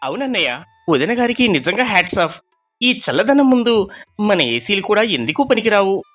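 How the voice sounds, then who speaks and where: A man's voice: high voice, sing-song, normal speed, fairly bright tone, faint music behind. A man playing a humbled, affectionate younger brother in a family moral story, earnest and emotional. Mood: admiring.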